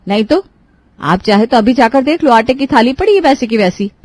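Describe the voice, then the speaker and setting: Woman voicing a defensive young daughter-in-law, higher pitched. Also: lively intonation, a little fast, darkish tone, dry recording. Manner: defensive, muttering excuses. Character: careless, defensive young daughter-in-law who cooks stale food. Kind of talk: Hindi cartoon moral story dialogue.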